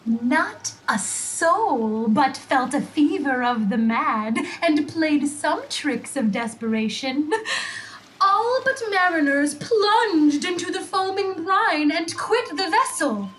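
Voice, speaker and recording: An eager servant sprite of the air with a very high voice. The spirit declaims Shakespearean verse slowly and theatrically for an audio drama, over faint background noise. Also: female voice, lively intonation, fairly bright tone, full character voice, rough recording. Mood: exultant, dramatic.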